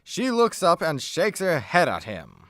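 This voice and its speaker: A man's voice: on the high side, wildly swooping pitch, measured pace, fairly bright tone, dry recording, clean studio sound. A man reading scripted narration and stage directions as narrator of a radio play.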